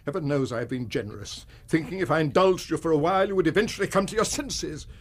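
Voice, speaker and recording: Husky, creaky older British banker. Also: male voice, on the high side, animated. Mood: stern, reproachful. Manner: formal, measured, distressed. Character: anxious, upright older Victorian banker, weary indulgent father. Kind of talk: BBC radio drama acting.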